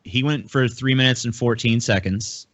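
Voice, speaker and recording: A man's voice: medium pitch, moderate pace, fairly bright tone, no room sound. A man acting as a podcast debate moderator, giving time and ground rules.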